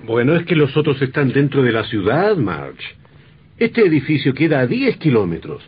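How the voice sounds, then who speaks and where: A man's voice: mid-range voice, big pitch swings, moderate pace, husky. A man playing a reasonable dinner guest in a vintage radio drama. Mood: reasoning. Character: reasonable male dinner guest, calmly explaining. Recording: dull lo-fi old radio recording.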